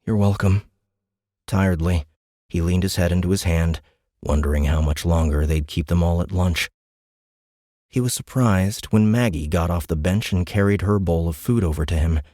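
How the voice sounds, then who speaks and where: A man's voice: low voice, moderate pace, mellow, dry recording, clean studio sound. A man narrating a science fiction audiobook in a scripted, dramatic storytelling style.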